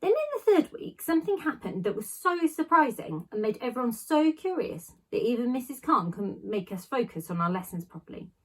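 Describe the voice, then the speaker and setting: Slightly husky woman reading a children's story. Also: fairly high pitch, sing-song, fairly quick, few pauses, fairly bright tone, rough recording. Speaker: teacher reading aloud to a class. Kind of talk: expressive read-aloud of a children's novel.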